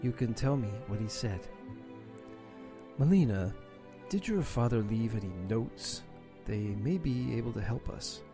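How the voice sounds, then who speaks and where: A man's voice: mid-range voice, lively intonation, unhurried, pauses often. Man playing suave secret agent, music behind. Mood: calm, concerned. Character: suave, determined male British secret agent. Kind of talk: amateur audio drama acting.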